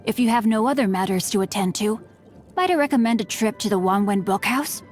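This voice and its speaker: A woman's voice: medium pitch, fairly quick, natural voice. A woman voicing a courteous, bookish teenage boy martial artist in a video game, with refined, eloquent and formal diction. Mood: polite, cheerful. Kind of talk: video game character voice lines. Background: music.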